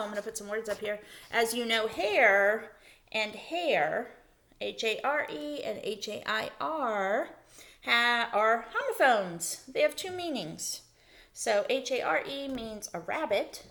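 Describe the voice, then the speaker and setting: A woman's voice: medium pitch, fairly bright tone. A woman schoolteacher leading a read-aloud lesson for children, explaining things simply and putting questions to her listeners. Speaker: elementary school teacher.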